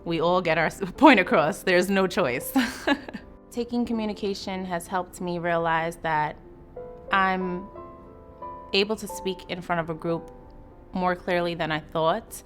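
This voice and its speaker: A woman's voice: fairly low pitch, unhurried. A woman with a New York accent, a student in an interview testimonial, confident and upbeat. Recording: soft music underneath. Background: background music.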